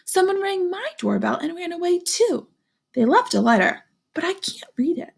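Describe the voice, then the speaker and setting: A woman's voice: very high voice, big pitch swings, normal speed, gravelly, voice pushed up, exaggerated voice. Woman reading as an earnest, puzzled young girl. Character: young girl, sensible, puzzled dog owner working out mysteries. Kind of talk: children's storybook read-aloud.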